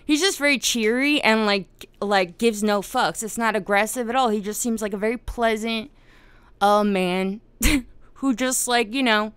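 A woman's voice: medium pitch, clean tone, dry recording. A woman hosting a casual live-streamed discussion, swearing often and laughing as she tells the story. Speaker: podcast host.

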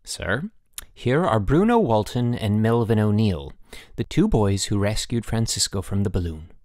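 A man's voice: fairly low pitch, animated, moderate pace, a little mellow, no room sound, studio quality, natural voice. A man voicing a stern, authoritative boarding school headmaster, speaking formally in an audiobook. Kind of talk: audiobook character voice.

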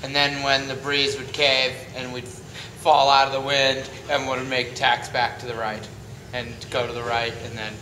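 Middle-aged man in a noisy, roomy space. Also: mid-range voice. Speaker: sailing competitor. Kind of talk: casual recounting of a race. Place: large covered open-sided patio. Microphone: camera a few feet away. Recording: echoey pavilion, clear background noise.

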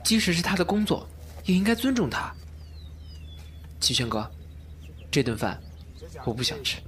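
A man acting a gentle, principled and considerate young man in an audio drama. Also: a little high, sing-song, moderate pace, a little hiss or hum. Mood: earnest, reproachful.

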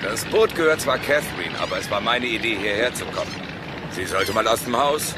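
Radio-play lover, male actor: confident, easygoing, animated pitch, loud boat noise around him. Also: brisk, lightly acted. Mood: breezy, assured. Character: easygoing, self-assured playboy lover on a boat. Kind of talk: German crime radio drama acting.

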